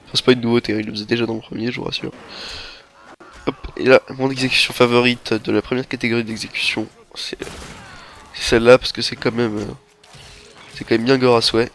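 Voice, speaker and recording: A young man talks through a video game into a headset mic, stuffed up from a head cold. His delivery rambles and stalls often, and his voice sits at an average pitch for a man. A faint hiss runs under the recording. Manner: rambling, halting, relaxed. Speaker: gameplay commentator. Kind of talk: game walkthrough commentary. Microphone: headset microphone.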